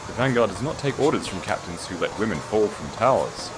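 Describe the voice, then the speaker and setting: A man voicing a cold, blunt war duke in a low rumbling baritone with hard authority, as in a fantasy audiobook. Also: lively intonation, fairly quick, noisy background, close to an everyday voice. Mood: cold, menacing.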